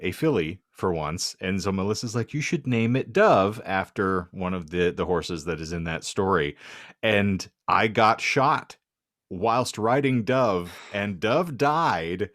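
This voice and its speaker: Guy on a comedy podcast, lowish voice, wry and wordy, really dry close recording. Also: slow pace, a bit bright, no echo. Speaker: podcast co-host. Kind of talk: casual comedic podcast chat.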